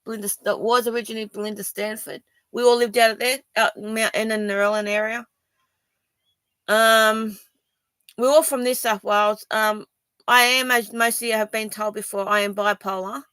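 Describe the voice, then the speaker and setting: A woman's voice: on the high side, fairly even intonation, moderate pace, fairly bright tone, smooth, no echo. Rambling defensive Australian woman. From Sydney, Australia. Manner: rambling and defensive, many ums and restarts. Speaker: vlogger. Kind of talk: personal video statement to viewers.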